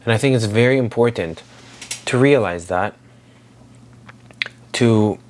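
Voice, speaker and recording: A man's voice: lowish voice, a little slow, a little mellow, slight background noise. A man in his twenties, originally from Syria, talking reflectively in a personal daily vlog, rambling with many fillers and restarts. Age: 20s. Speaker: daily vlogger.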